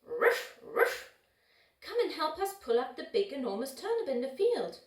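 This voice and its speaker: Puppet-show house dog played by a woman, friendly, urging, animated high pitch, echoey room. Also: unhurried. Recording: roomy echo, distant microphone.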